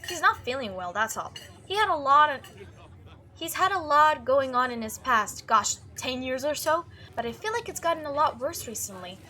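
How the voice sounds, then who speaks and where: A woman's voice: high voice, very animated, moderate pace, pushed and bright, heavily acted. A woman voicing an upbeat, chatty young monster-hunting video maker in a visual novel read-through. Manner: bubbly, casual, enthusiastic.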